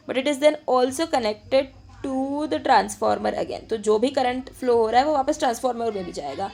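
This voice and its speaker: A woman's voice: on the high side, vocal fry, darkish tone. A woman with an Indian accent giving an informal step-by-step lecture, switching constantly between Hindi and English and repeating her points. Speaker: physiotherapy teacher.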